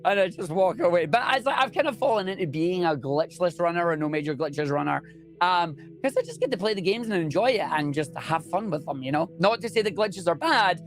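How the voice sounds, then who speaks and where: A man's voice: high voice, expressive, brisk, faint music behind. A young man with a Scottish accent, a speedrunner chatting enthusiastically and jokily in an interview. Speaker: speedrunner guest. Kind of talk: casual interview chat.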